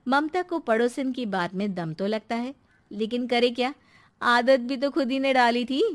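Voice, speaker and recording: A woman's voice: on the high side, moderate pace. A woman narrating a scripted family moral story as its storyteller.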